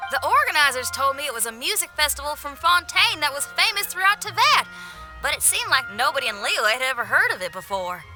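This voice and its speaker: A woman playing a spirited, tenacious young female rock musician in a video game, with a folksy, expressive way of talking. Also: high-pitched, heavily acted. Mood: puzzled, chatty. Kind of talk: video game cutscene voice acting. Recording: faint music behind.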